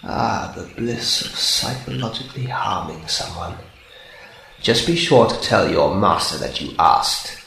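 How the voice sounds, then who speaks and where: A man's voice: low voice, animated, measured pace, vocal fry, sharp tone, exaggerated voice. A man voicing a sadistic, taunting robot hunter in an amateur radio drama, needling with mock sweetness. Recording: roomy sound with faint noise.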